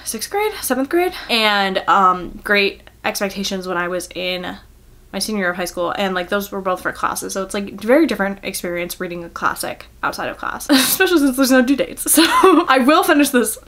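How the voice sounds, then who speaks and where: A woman's voice: medium pitch, animated, brisk, fairly bright tone. A young woman talking casually as a book vlogger, in a rambling, self-deprecating, giggly manner. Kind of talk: casual chatty vlog.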